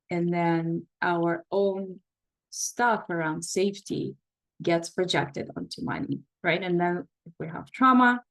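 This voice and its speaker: A woman's voice: on the low side, gravelly, poor audio. Russian-accented woman musing to a workshop. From Belarus. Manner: thoughtful, restarts often, interacts with participants. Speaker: therapist leading a workshop. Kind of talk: casual workshop talk.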